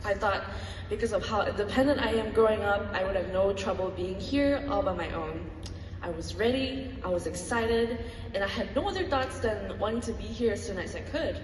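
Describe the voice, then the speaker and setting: A woman's voice: medium pitch, normal speed, slight background noise. Brief: woman in her twenties, earnest student talk, distant mic, roomy reverberant hall. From Malaysia. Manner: earnest, reflective, with light laughs. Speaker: student speaker. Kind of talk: personal talk to an audience. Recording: echoey hall from far off.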